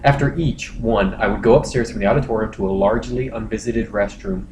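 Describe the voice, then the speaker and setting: A man's voice: fairly low pitch, fairly quick. A man hosting a comedy podcast, casually reading internet posts aloud with wry, crude asides. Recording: roomy echo, faint noise.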